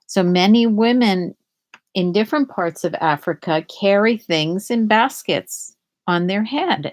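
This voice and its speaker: A woman reading a children's picture book aloud in a deliberate way, pausing to explain things to young listeners. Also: mid-range voice, darkish tone. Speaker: storybook reader.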